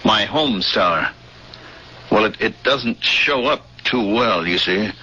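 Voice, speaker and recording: An actor playing a bewildered, protesting cargo-ship captain in a 1970s radio drama, flustered and argumentative. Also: male voice, animated, slow, husky, full character voice. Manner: flustered and argumentative, pitch jumping when alarmed. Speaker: spaceship captain and narrator. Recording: muffled old radio broadcast with loud hiss.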